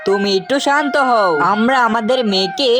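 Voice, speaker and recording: Woman voicing a high-voiced, resolute cartoon animal parent. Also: normal speed, close to an everyday voice. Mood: resolute, consoling. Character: protective, reassuring animal parent, resolute and self-sacrificing. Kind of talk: Bengali children's cartoon dubbing.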